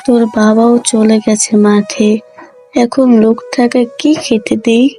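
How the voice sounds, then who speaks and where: A woman's voice: fairly high pitch, little pitch movement, unhurried, dark tone, soft background music, lightly acted. A woman voicing a poor village mother who talks anxiously about having no food. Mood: worried.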